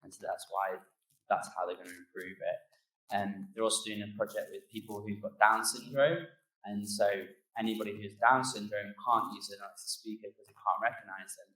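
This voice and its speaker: A man's voice: fairly low pitch, steady tone, moderate pace, pushed and bright, slightly rough, poor audio. A man speaking as a conference presenter in an informal, conversational way, explaining things loosely and taking audience questions.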